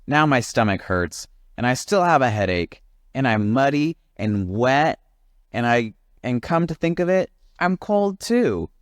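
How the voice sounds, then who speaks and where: A man's voice: medium pitch, big pitch swings, measured pace, dry recording, studio quality, voice pushed up. A man voicing a grumpy, complaining monkey in a storybook, whiny and exasperated.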